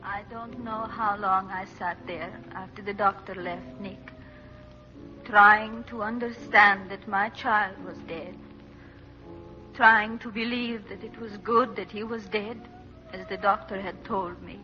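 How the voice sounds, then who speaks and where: A woman's voice: medium pitch, fairly even intonation, pushed and bright. An actress playing a bereaved widow, narrating her tragedy solemnly in a 1940s radio drama. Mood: sorrowful. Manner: solemn, deliberate, intense. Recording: muffled vintage radio, faint music.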